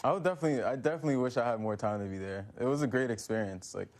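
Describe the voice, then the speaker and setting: A young man from New York answering interview questions in a relaxed, casual way, with frequent restarts. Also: mid-range voice, rapid-fire. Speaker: guest.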